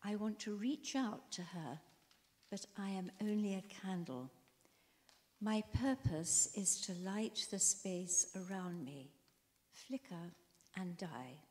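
Slow, hushed woman reading poetry in a hall. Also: mid-range voice. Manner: drops to a whisper at line ends. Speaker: poetry reader. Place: wood-panelled concert hall stage. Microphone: handheld mic. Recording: echoey concert hall.

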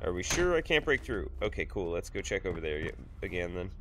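Stuffy-nosed man gaming, low voice. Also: big pitch swings, a little fast, background noise. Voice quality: nasal. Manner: rambling, jokey, swears freely. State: sick with stuffy sinuses, nasal. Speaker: gaming YouTuber. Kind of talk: casual let's play commentary. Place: home room at a desk.

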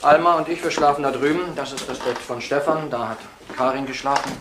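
A male actor plays a calm, defiant young man in a radio crime drama, philosophical and pedantic. He speaks briskly at a medium pitch. The recording is roomy and clearly noisy. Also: lightly acted. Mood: reserved, quibbling. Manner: pedantic, philosophical, answers questions with questions.

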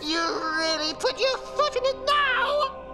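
A woman playing a menacing, gleeful dirty fairy monster in a comedy horror short, scolding and gloating theatrically in a shrill voice. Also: very animated, takes it slowly, heavily acted. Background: film music.

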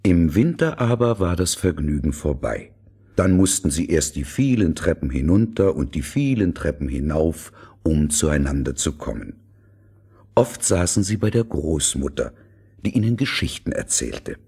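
A man narrating a fairy tale as a measured, expressive storyteller with a deep husky voice. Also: heavily acted. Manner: deliberate, expressive.

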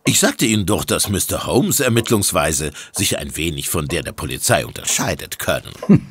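An actor playing a formal, sceptical police inspector in a German audio drama, speaking emphatically. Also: male voice, deep voice, very animated, moderate pace, slight background noise.

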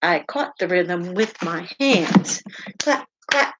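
A middle-aged woman teacher reading a picture book aloud to children, with deliberate sing-song emphasis on repeated words. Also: lowish voice, lively intonation, very noisy. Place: home kitchen, indoors.

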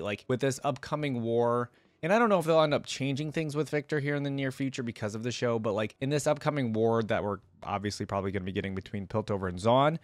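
A man's voice: medium pitch, dry recording. A young man giving casual reaction commentary and reading aloud, musing and speaking straight to his viewers. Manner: conversational, musing, addresses viewers directly. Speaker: host of a gaming lore reaction channel. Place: indoor room at a desk, gaming chair. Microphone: close desk microphone on a stand, headset worn.

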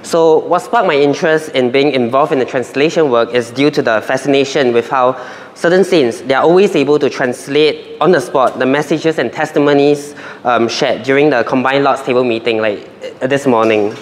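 A man's voice: mid-range voice, moderate pace, few pauses. A young man, a native Chinese speaker, giving an earnest prepared testimony at a church meeting with a handheld microphone. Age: young adult. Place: church meeting room, indoors. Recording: roomy reverb, faint noise.

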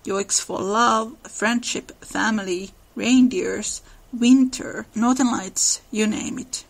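A woman's voice: fairly high pitch, steady tone, takes it slowly, gravelly, rough recording. A woman with a Finnish accent, hosting a podcast and narrating in an earnest, explanatory way. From Finland. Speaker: podcast host.

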